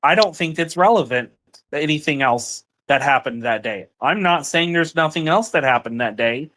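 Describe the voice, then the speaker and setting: A man argues over the phone in a frustrated, accusatory way, circling back to the same complaints. His voice sits a bit high for a man. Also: expressive, normal speed, fairly bright tone. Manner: frustrated, accusatory, repeats himself. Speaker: partner on a phone call. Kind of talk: heated personal argument.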